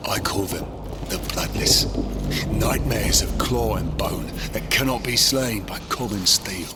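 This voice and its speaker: An actor playing a proud, bitter, battle-worn undead warrior in a video game, speaking in a dramatic, brooding way. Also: male voice, on the low side, unhurried, heavy background noise, close to an everyday voice. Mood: grave. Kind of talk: video game cutscene acting. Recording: loud cinematic music behind.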